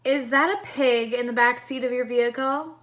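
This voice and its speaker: A woman voicing a bewildered, hesitant female ticket seller from a storybook, halting and puzzled. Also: fairly high pitch, a bit bright, close to an everyday voice. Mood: puzzled, wary. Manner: halting, puzzled, slowly drawn out.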